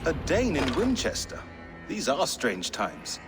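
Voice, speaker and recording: An actor playing a blunt, gruff medieval English official in a video game, with a salty, sardonic manner. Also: male voice, medium pitch, wildly swooping pitch, a little slow, music in the background. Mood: wary, defiant. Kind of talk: dramatic video game acting.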